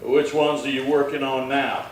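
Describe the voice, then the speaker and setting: Radio western lawman male actor: laconic, steady, unhurried authority, distant and heavily reverberant with faint noise. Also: medium pitch, natural voice. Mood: wry, amused. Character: steady, watchful male US Marshal of the Old West. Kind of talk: old-time western radio acting. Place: little theatre stage, distant mic. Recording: very echoey hall, far from mic, faint noise.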